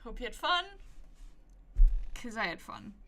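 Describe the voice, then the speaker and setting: A woman's voice: fairly high pitch, sing-song, measured pace, pushed and bright, a little hiss or hum. A woman livestreaming a video game with casual, wry, sweary reactions, sounding tired. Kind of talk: casual reactive gameplay commentary. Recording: game music behind voice.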